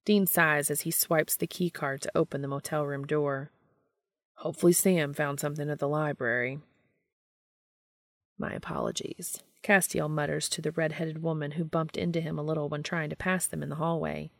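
Low, creaky-voiced woman narrating fiction. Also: steady tone, fairly quick, no echo, studio quality. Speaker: reader of fan fiction. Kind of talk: scripted story narration.

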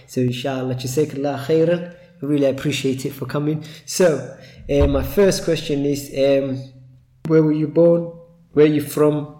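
A man hosting a casual podcast interview, often dropping in short Arabic religious phrases and quick "yeah"s. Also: a little high, steady tone, dark tone. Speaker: podcast host. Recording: echoey room.

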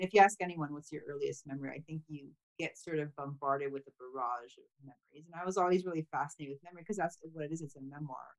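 A woman with a notably low voice talks through her past as a podcast guest. She grew up in Thailand, and her speech is reflective and soft, full of "you know" and small laughs. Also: rough recording. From grew up mostly in Thailand. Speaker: memoir author, podcast guest. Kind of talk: casual interview chat.

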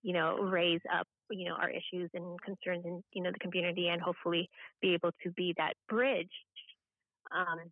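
Gravelly, low-key American woman guest. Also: little pitch movement, no echo. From Hawaii, United States. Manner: halting, with frequent "you know". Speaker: podcast guest, government official. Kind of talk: conversational interview introduction. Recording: slightly dull, lo-fi audio.

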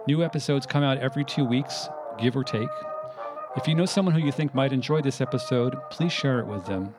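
A man's voice: medium pitch, poor audio. Man narrating a history podcast over music.

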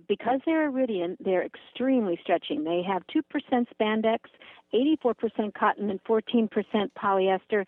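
A woman giving an enthusiastic, conversational product pitch in a gushing, emphatic manner over a muffled phone-like line. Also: no echo. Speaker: product presenter.

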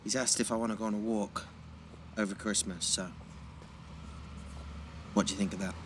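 A man's voice: fairly low pitch, steady tone, moderate pace, noisy background, lightly acted. A young man playing a prickly, guarded teenage schoolboy in an audio drama, with a terse, defensive way of talking. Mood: probing, guarded. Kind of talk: naturalistic audio drama acting.

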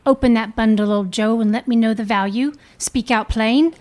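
A woman's voice: a little high, fairly even intonation, moderate pace, a little mellow, natural voice. A woman playing a brazen, defiant Victorian thief in a live dramatic reading. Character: brazen, defiant Victorian thieving woman selling a dead man's goods.

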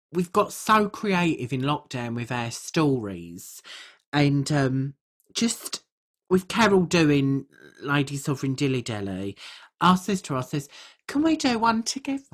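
A British woman co-hosting a podcast in casual chat. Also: low voice. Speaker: podcast co-host.

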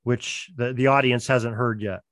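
A man's voice: mid-range voice, fairly even intonation, measured pace, fairly bright tone, no echo. A man with a mid-range voice gives casual interview explanations. He builds points step by step with repeated words, recorded dry with very little room sound. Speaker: podcast drama producer.

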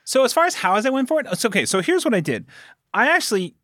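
A man's voice: very high-pitched, very animated, fast, a bit bright, dry recording, studio quality. A man speaking as a producer and game master, telling stories casually as an interview guest. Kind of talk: casual animated storytelling.

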